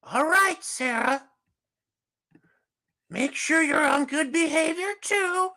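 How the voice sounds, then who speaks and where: A man voicing a gushing woman host in falsetto on a comedic livestream, starting almost every line with "well". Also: animated, takes it slowly, pushed and bright, full character voice. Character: shrill fake woman hostess, gushing. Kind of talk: comedic livestream character bit.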